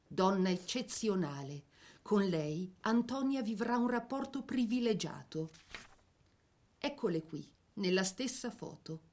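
A woman narrating a scripted biographical drama in an expressive, measured storytelling manner. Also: mid-range voice.

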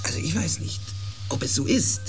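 A man's voice: moderate pace, soft background music, lightly acted. A man playing a thoughtful, inquisitive scientist on a space expedition in a radio drama, reasoning aloud with emphasis. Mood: musing, eager.

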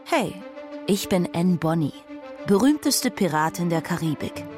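A woman's voice: lowish voice, animated, measured pace. An actress playing a proud, defiant Caribbean pirate woman, bold and provocative, in a dramatised podcast trailer. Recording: music behind the voice.

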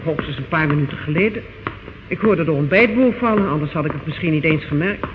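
A man plays a dutiful prison warder in a radio play, with a fairly high, anxious voice. He reports respectfully, in alarm. The old recording sounds thin and phone-like. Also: animated, fairly quick, background noise, lightly acted. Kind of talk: old radio drama acting.

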